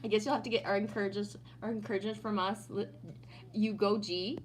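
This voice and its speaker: A woman's voice: medium pitch, little pitch movement, fairly quick, a little hiss or hum. A woman in her fifties from Texas, hosting a casual livestream, teasing playfully, laughing often and reading out chat comments. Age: 50s.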